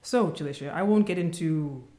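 A woman co-hosting a casual podcast chat, speaking in a calm, measured and reserved way. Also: sits low. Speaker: podcast co-host.

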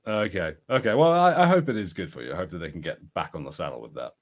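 A man's voice: low voice, expressive, fast talker. A man from the UK co-hosting a podcast, chatting casually and giving his opinions on fantasy film and TV. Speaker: podcast co-host.

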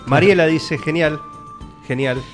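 A man's voice: on the high side, a little slow. A man with an Argentine accent hosting a casual radio chat. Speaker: radio host. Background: music.

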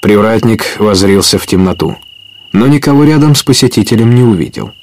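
A man's voice: on the low side, expressive, a little fast. A man narrating an adventure audio play as a storyteller, scripted and dramatic.

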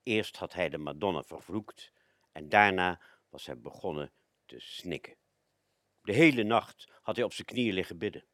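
An actor playing a male chauffeur recounting his master's tale in a radio play, with measured, expressive phrasing. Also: sits low, no echo, studio quality. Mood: grave. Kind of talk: dramatic storytelling radio play.

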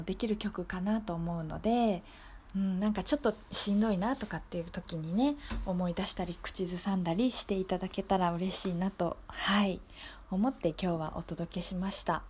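A woman hosting a radio show, talking casually and reflectively in a gentle, polite, thoughtful way. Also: on the low side, a little hiss or hum. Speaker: radio show host and singer-songwriter.

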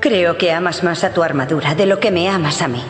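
A woman's voice: fairly low pitch, fairly quick, natural voice. A woman acting a weary, blunt wife of a knight in a storybook radio drama. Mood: weary, reproachful. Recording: roomy long reverb, music behind.

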